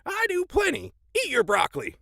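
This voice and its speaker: A man's voice: extremely high pitch, huge pitch swings, normal speed, creaky, a bit bright, dry recording, clean studio sound, heavily acted. A man playing a cantankerous, folksy old grandpa in an audio drama, blustering with old-timey exclamations. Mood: gruff but caring.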